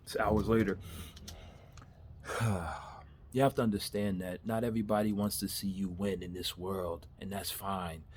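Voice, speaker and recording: A man's voice: deep voice, fairly even intonation, normal speed, long pauses, a little mellow, a little hiss or hum. A man in his thirties giving a candid, rambling motivational monologue in his backyard, laughing at himself and swearing casually. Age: 30s. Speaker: vlogger.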